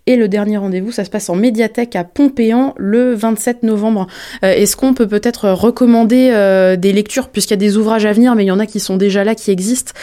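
A woman hosting a radio interview, asking questions and briskly announcing event dates and places. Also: mid-range voice, steady tone, mellow. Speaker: radio host.

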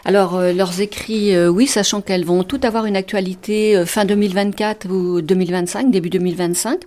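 A woman speaking as a festival organizer in a radio interview, measured and using fillers. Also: medium pitch, steady tone, darkish tone.

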